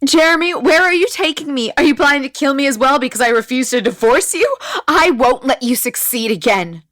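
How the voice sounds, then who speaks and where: A woman's voice: high voice, animated, a little fast, fairly bright tone, no echo, voice pushed up. Woman acting a bitter, wronged wife, raised voice. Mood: frightened, defiant. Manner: emotional, accusing. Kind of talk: audiobook character acting.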